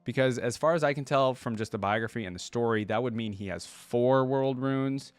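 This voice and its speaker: A man's voice: medium pitch, brisk, clean tone, no echo. A man hosting a reaction video, reading stories aloud and commenting informally, with exclamations and rephrasing as he goes.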